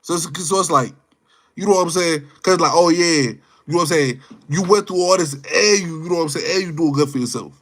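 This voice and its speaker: A man's voice: on the high side, a little fast. A man in his twenties chatting casually as a livestream host, slangy and rambling, often repeating his words and phrases. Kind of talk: casual live chat, off-the-cuff opinion.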